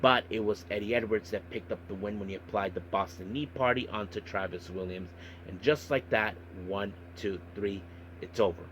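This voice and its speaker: A man hosting a podcast monologue, recapping and commenting on events in a halting way with repeated filler phrases. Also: fairly low pitch, a bit bright, a little hiss or hum, poor audio. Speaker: wrestling podcast host.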